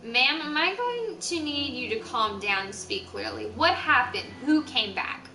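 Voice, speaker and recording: A woman voicing a male police officer who questions a caller in a steady way. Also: high voice, measured pace, vocal fry, a little hiss or hum, exaggerated voice. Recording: echoey room, distant mic, music behind.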